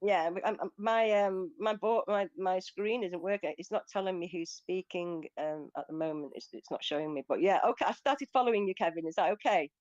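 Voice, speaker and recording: A woman's voice: fairly low pitch, brisk, hardly any pauses, darkish tone, no room sound, muffled recording. A woman from Greece with a British accent, chatting in an online audio room, often laughing and restarting her sentences. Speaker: guest speaker. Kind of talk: casual online audio chat.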